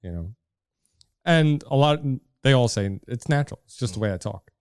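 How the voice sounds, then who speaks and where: A man's voice: mid-range voice, lively intonation, moderate pace, a little mellow, clear, resonant tone, no room sound. A man with a heavy Brooklyn accent hosting a casual podcast in a basement studio, talking loosely with lots of fillers. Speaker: podcast host. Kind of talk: casual chat. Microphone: studio microphone.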